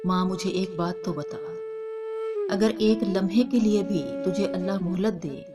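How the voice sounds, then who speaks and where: A woman's voice: mid-range voice, fairly even intonation, unhurried, lightly acted. A woman voicing a remorseful young sinner on his deathbed, pleading sorrowfully with his mother in a moral story. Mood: tearful pleading. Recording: loud instrumental flute music behind.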